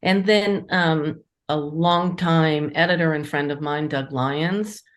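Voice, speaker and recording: A woman speaking conversationally as an interviewed writer, enthusiastic and appreciative in her manner. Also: sits low. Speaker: interviewed playwright and editor.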